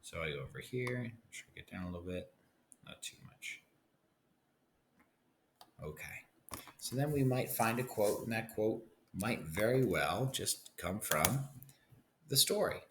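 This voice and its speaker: A man with a low voice teaches a lesson step by step, thinking aloud as he goes. He guides the listener and often checks in. The recording carries faint noise and typing. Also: lively intonation, a little slow, pauses often. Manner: guiding, checks in with "right?". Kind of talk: instructional screen-share lesson, thinking aloud.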